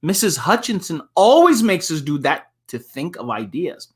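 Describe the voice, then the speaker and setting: A man's voice: on the high side, very animated, normal speed, slightly rough. A man voicing an eager, imaginative schoolboy in a storybook. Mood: eager, excited.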